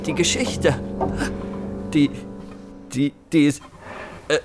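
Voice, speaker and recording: An actor playing a broken, dying man who confesses in weak, halting, slurred fragments in a radio drama. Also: on the high side, steady tone, over loud music. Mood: fading, distraught. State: weak, fading.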